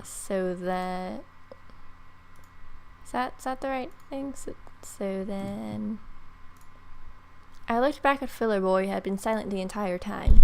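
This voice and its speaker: A woman's voice: medium pitch, creaky, a little hiss or hum, poor audio. A woman in her twenties reading visual novel text aloud as a let's player, with casual, sarcastic asides, close to the mic. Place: bedroom.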